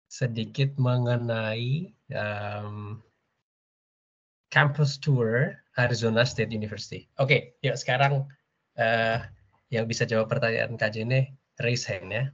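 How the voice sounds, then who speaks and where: A man's voice: medium pitch, vocal fry, a bit bright, breathy edge. A man with an Indonesian accent, a lecturer giving an upbeat, coaxing online class to schoolchildren, with frequent ums and uhs. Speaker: university language lecturer. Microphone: video call. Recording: dull lo-fi video call audio.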